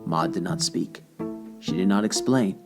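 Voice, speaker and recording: Casting: male narrator, lowish pitch, solemn, deliberate dramatic storytelling over clear instrumental music. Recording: music behind the voice.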